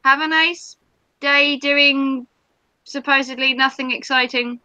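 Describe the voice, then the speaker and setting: A woman's voice: high-pitched, steady tone, takes it slowly, bright, forceful tone, dry recording, muffled recording. A woman from Norfolk with an English accent, chatting and teasing her partner on a live stream. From England, Norfolk. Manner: teasing, jokey banter. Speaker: vlogger hosting a live stream. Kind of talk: casual chat.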